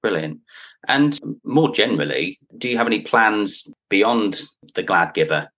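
A man presenting a podcast in a chatty, informal and gently self-deprecating way. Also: on the low side, expressive, unhurried, low-quality recording. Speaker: podcast host and interviewer.

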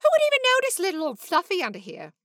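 A woman's voice: very high-pitched, wildly swooping pitch, fast, fairly bright tone, no echo, clean studio sound, voice pushed up, exaggerated voice. A woman voicing a fretful, pampered female house cat who whines dramatically about being forgotten. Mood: self-pitying. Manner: dramatic, anxious whining.

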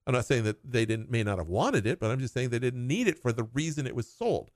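A man's voice: mid-range voice, expressive, fast talker, no echo, clean studio sound. A man who talks as a financial advisor, explaining retirement planning in short clauses and laughing now and then. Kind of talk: conversational explanation.

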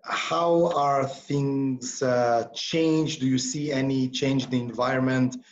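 A man's voice: mid-range voice, steady tone, slow pace, dull audio. A man hosting a podcast interview, introducing the show and asking questions hesitantly, with many ums and restarts. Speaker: podcast host.

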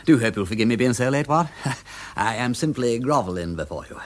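An actor playing a flippant, flustered upper-class English gentleman detective in a radio drama, with a foppish, exclamatory way of talking. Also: mid-range voice, lively intonation, a little fast, natural voice. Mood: apologetic.

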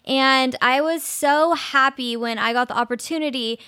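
A woman's voice: sits high, normal speed, bright, forceful tone, clean tone, no echo, clean studio sound. A young woman in her twenties hosting a casual solo podcast, chatty and dramatic, often laughing as she talks. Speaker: podcast host.

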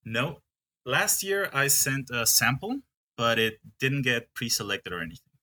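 Bright-voiced man from Peru, halting. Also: mid-range voice, sing-song. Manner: hesitant, with many restarts. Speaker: coffee farm manager. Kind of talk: casual interview.

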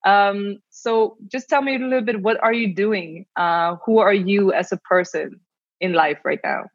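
A woman's voice: mid-range voice, measured pace, dry recording, highs cut off. A woman hosting an online interview show who presents in an upbeat, enthusiastic way and then chats casually with her guest. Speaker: interview host.

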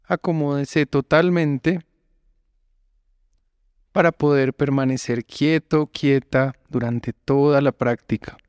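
Slow, smooth-voiced man guiding relaxation. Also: medium pitch, no echo. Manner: calm, measured instructions with long pauses. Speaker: yoga nidra instructor. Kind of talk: slow guided relaxation meditation.

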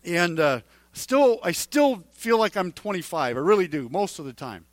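A man in his forties giving a conversational sermon as a pastor, halting and restarting phrases as he speaks straight to his congregation. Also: high-pitched, lively intonation, dry recording. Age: 40s.

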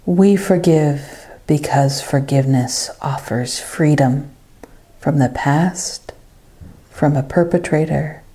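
Female meditation guide, low and mellow, unhurried devotional delivery with phrases that fade softly.

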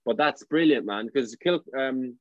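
A man's voice: mid-range voice, unhurried, no echo. Young irish man, actor, chatty, restarts his sentences, dull dry video-call sound. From Ireland. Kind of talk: casual interview over video call.

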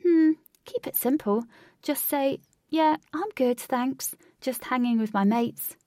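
A woman's voice: high-pitched, slow pace, darkish tone, no room sound, studio quality, pitched above the performer's own voice. A woman voicing a frank, level-headed young woman with a casual manner, in a story. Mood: advising. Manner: casual, matter-of-fact.

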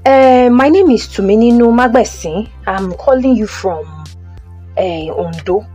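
A woman's voice: a little high, a little slow, warm tone, breathy edge, soft background music. A woman with a Nigerian accent playing a polite, eager young mother in an audio drama. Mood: eager, deferential. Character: Tumi, eager, deferential young mother seeking a flat. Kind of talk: audio drama acting, Yoruba-English phone conversation.

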